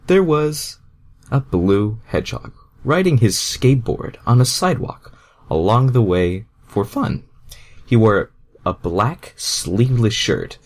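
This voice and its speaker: A man's voice: on the low side, darkish tone. A man doing a casual amateur read-aloud of fan fiction, stumbling over the text and breaking into exasperated, foul-mouthed asides.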